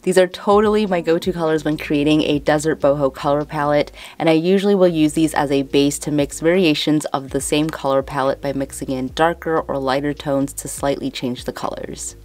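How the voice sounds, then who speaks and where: A woman's voice: low voice, fairly even intonation, brisk, hardly any pauses, darkish tone. A woman in her twenties with a Chicago accent, chatting casually as she explains a DIY craft tutorial step by step. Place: indoors, room with houseplants.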